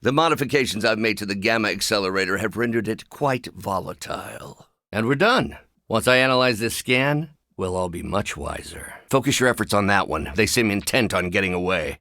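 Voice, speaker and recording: An actor voicing a brilliant, anxious, wry scientist with buried anger in a video game, speaking in precise, articulate, dryly sardonic phrases. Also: male voice, on the low side, animated, a little fast, pushed and bright, dry recording, studio quality. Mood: friendly, wry.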